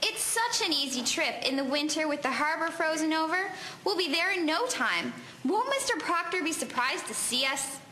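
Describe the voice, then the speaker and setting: A woman's voice: sits high, sing-song, normal speed, hardly any pauses, sharp tone, heavily acted. An actress playing a plucky, resolute young woman in a scripted audio drama. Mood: excited, welcoming. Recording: echoey, noisy ambient recording.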